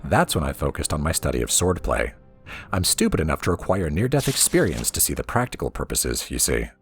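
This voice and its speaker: Male narrator, low register, highly varied intonation, reading storybook prose over background music. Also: wildly swooping pitch, fairly quick. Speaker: let's play host reading in-game books aloud.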